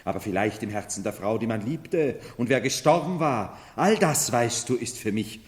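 A man's voice: mid-range voice, animated, moderate pace, in a large hall, close to an everyday voice. An actor in a 1970s radio play as a volatile man long thought mad who plays an emperor, commanding and theatrical. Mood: brooding, bitter. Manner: theatrical, commanding, swinging from brooding to outbursts. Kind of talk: 1970s German-language radio play acting.

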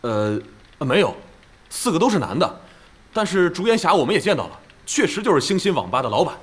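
An actor playing an earnest, plain-spoken young gamer in an audio drama. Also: male voice, a little high, big pitch swings, brisk, a little hiss or hum. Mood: eager reporting. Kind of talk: Chinese audio drama acting.

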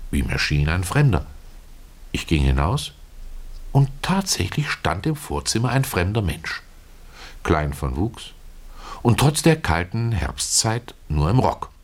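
Low voiced guy reading a classic story, really lively melody, measured and expressive. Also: breathy edge. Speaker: narrator. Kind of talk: literary story reading, first-person scripted narration.

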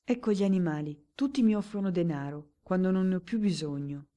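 A woman reading aloud and voicing a ruined male baron, a reckless gambler, with loud, scornful, theatrical speech. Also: mid-range voice, expressive, normal speed, lightly acted. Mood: bitter. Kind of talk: audiobook character voice.

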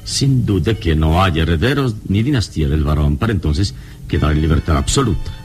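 A wise radio-serial hero with a low, expressive voice. He is composed and reassuring, reasoning things out in measured phrases. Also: soft background music. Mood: thoughtful. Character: calm, wise, reassuring male adventure hero. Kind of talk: vintage radio drama acting.

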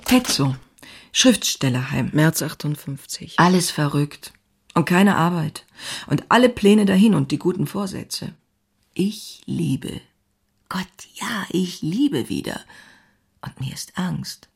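Casting: restless young woman writer in an audio drama diary, husky with gravelly fry, intimate and confessional. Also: on the low side, lively intonation, slow pace, full character voice. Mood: ecstatic, anguished. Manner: intimate, confessional, often dropping into breathy near-whispers.

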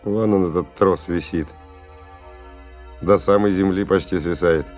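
A man's voice: low voice, fairly even intonation, measured pace, a little mellow. An actor playing a tough, cynical stalker who tells his story in a colloquial, sardonic radio-drama monologue with dramatic swings. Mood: tense recounting. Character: tough, cynical male stalker narrating his dangerous expedition. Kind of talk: 1990 radio drama first-person monologue. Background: music.

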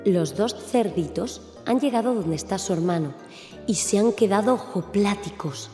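A woman's voice: mid-range voice, animated, normal speed, over music. A woman narrating a scripted children's story, recorded with an echoey, reverberant sound.

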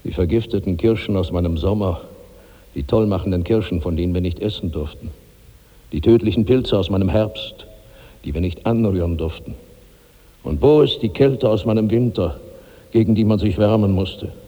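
A man's voice: on the low side, normal speed, mellow, highs cut off, lightly acted. An actor in a 1960s German radio drama playing a huge, heavy old man tormented by bottomless hunger, in declamatory, incantatory repetition. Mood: anguished, brooding. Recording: long hall reverb on old radio recording.

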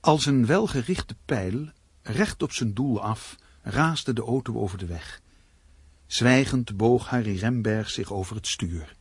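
An actor playing a grave, ominous narrator of a suspense radio play, husky and deliberate, with dramatic pauses. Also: male voice, medium pitch, lively intonation, dry recording.

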